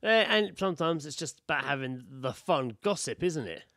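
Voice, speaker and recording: A man's voice: a little high, lively intonation, moderate pace, fairly bright tone, no room sound, clean studio sound. A British man co-hosting a podcast, bantering casually and reading out stories in a blunt, sweary, laddish way. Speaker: podcast co-host.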